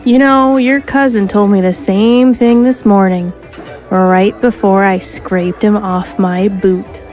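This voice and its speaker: Tough female alien smuggler, played by a woman; brash, slangy, mid-pitched, over faint music. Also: fairly even intonation, takes it slowly, a little mellow, close to an everyday voice, poor audio.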